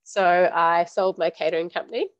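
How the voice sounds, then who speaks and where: A woman in her thirties with a croaky voice from recent illness, chatting casually about her home business. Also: fairly low pitch, brisk, no room sound, lo-fi sound. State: croaky voice, getting over illness. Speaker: business mentor host.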